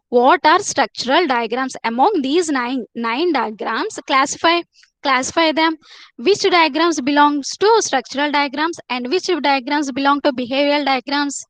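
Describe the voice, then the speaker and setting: A woman's voice: high voice, normal speed, no room sound, rough recording. A woman teaching a live online class, repeating herself and coaxing students to answer in the chat.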